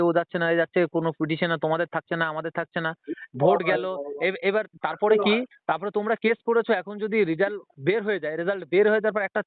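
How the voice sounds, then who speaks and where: Young male caller, rapid, insistent, repetitive; thin phone-line audio. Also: high voice, dry recording. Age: young adult. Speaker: job candidate calling on the phone. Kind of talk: argumentative phone conversation. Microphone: phone call. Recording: tinny, band-limited phone line.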